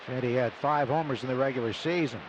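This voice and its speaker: A man calling a baseball game play by play on live radio in clipped, deliberate phrases. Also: medium pitch. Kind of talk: live radio sports commentary with sponsor reads. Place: stadium broadcast booth. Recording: noisy live ballpark radio broadcast.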